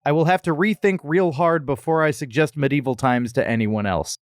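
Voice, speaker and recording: Guy cohosting a podcast, jokey casual chat, voice a bit high, really dry close sound. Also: a little fast, dry recording. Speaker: podcast co-host. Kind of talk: casual banter, restaurant review chat.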